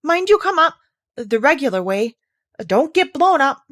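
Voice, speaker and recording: A woman reading a storybook as a brisk, bossy queen who contradicts in a curt, matter-of-fact way. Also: high-pitched, big pitch swings, a bit bright, voice pushed up, exaggerated voice. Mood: warning.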